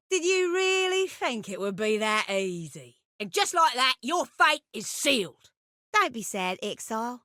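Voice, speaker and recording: A woman voicing a cunning, cruel female syndicate assassin in a video game, with a taunting, deliberate, silkily menacing delivery. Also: on the high side, pushed and bright, no room sound, studio quality, heavily acted. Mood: gloating, menacing. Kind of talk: video game villain voice lines.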